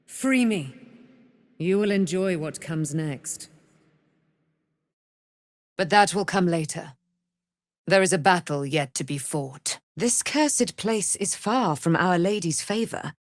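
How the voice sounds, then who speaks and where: Regal female warrior knight for a fantasy game: righteous, vengeful, grand archaic declamation, slow measured delivery. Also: fairly low pitch, lively intonation, no room sound, clean studio sound. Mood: commanding, then proud, courtly. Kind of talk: theatrical fantasy game voice acting.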